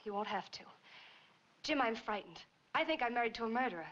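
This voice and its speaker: Quick-talking, anxious noir wife. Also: female voice, a little high, animated, fairly bright tone. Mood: frightened, urgent. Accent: American. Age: young adult. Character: anxious, suspicious young wife in a noir mystery. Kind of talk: 1940s film noir acting. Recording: dull, noisy 1940s film soundtrack.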